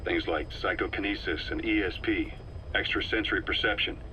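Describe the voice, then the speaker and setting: An actor playing a stern, hard-line military intelligence officer in a video game, measured, authoritative and accusing. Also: male voice, low voice, sharp tone. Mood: grave, measured. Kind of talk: video game audio drama acting. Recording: dull, hissy cassette tape recording.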